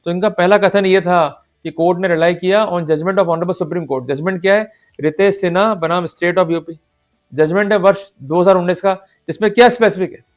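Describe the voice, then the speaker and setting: High-pitched Indian man giving a law lecture. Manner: didactic, rhetorical questions with frequent check-ins; mixes Hindi with formal English legal phrases. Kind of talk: explanatory lecture to viewers. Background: music.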